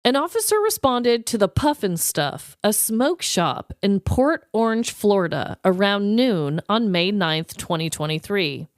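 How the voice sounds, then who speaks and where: A woman's voice: mid-range voice, expressive, measured pace, hardly any pauses, no echo, clean studio sound. A woman co-hosting a comedy podcast, chatting casually in a playful, slangy, giggly manner. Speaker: podcast co-host.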